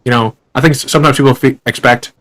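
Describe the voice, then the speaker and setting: A young adult man hosts a casual interview at a quick clip. His questions ramble along, full of fillers and restarts. His pitch is mid-range for a man. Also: fairly even intonation, a bit bright. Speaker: podcast host.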